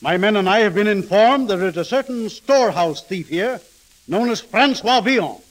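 Pompous bullying constable, old radio drama, really high-pitched for a man, hissy. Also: lively intonation, normal speed. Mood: haughty. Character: menacing, haughty Grand Constable officer. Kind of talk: 1930s radio drama acting. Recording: hissy 1930s radio recording.

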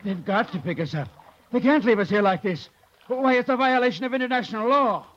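A man's voice: high voice, fairly quick, close to an everyday voice. A man acting in an old-time radio drama, playing an earnest, anxious castaway with an insistent manner. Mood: indignant. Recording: muffled, noisy old radio recording.

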